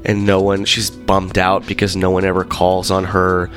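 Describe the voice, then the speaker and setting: A man hosting a podcast in casual chat, talking with many fillers and a slightly rough voice, congested from being sick. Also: low voice, moderate pace, soft background music. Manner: rambling, animated storyteller with many fillers. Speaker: podcast host.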